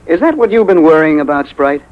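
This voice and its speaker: A man's voice: fairly high pitch, sing-song, a little fast, lo-fi sound. A man playing a kindly, reassuring family friend in a 1950s radio drama, easygoing in manner. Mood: curious, kindly. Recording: vintage 1950s radio recording.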